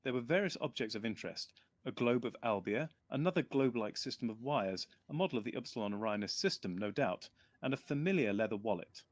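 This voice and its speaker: A man's voice: mid-range voice, no room sound. Male storyteller reading an audiobook. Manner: measured storytelling with lively character voices. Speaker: narrator.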